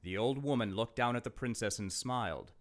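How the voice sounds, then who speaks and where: A man narrating a children's fairytale as a storybook reader, in a dramatic, expressive way. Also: medium pitch, fairly quick.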